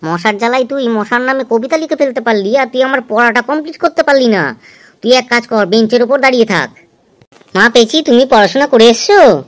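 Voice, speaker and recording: A woman's voice: on the high side, lively intonation, a little fast, lightly acted. A woman playing a stern, exasperated schoolteacher who scolds and lectures pupils in an amateur cartoon dub.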